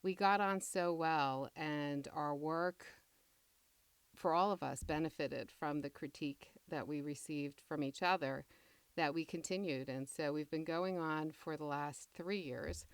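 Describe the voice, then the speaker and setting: Middle-aged woman playwright in interview: deep, smooth voice, measured and reflective, dry close sound. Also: fairly even intonation, no echo.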